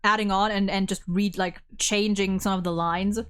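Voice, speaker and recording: A woman's voice: mid-range voice, steady tone, normal speed, a bit bright, clean tone. A woman chatting casually as host about a video game, emphatic and opinionated, swearing freely. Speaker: host of a game story ranking show.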